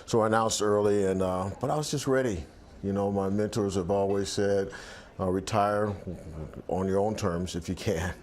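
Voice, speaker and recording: A man's voice: on the low side, fairly even intonation, moderate pace, darkish tone. A man in his sixties, an athletic director, talking reflectively in a sit-down interview on an outdoor balcony, with many restarts and fillers. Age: 60s.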